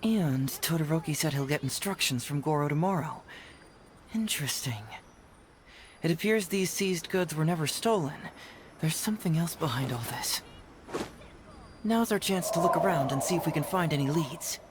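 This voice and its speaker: An actor playing a confident, playful young male detective in a video game, with a smooth, self-assured delivery. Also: on the high side, normal speed, a little hiss or hum, natural voice. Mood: intrigued. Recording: music behind.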